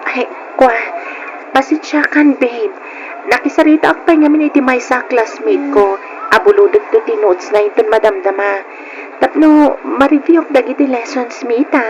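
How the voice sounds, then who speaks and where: A woman's voice: a little high, normal speed, slight background noise, natural voice, poor audio. A woman voicing a pert, sweet-talking young student with an Ilocano accent in a radio drama. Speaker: drama voice actor.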